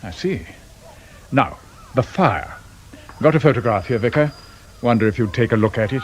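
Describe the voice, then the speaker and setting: An actor playing a polite, probing senior detective who questions crisply in a radio crime drama. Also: male voice, medium pitch, lively intonation, moderate pace, slight background noise, lightly acted. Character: courteous, probing male senior detective in charge.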